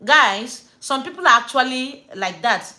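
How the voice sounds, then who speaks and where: A woman from Nigeria with a Nigerian accent, chatting casually on a live stream from home. She tells stories in an animated, chatty way and drops pidgin slang. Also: sits high, unhurried. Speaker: livestream host.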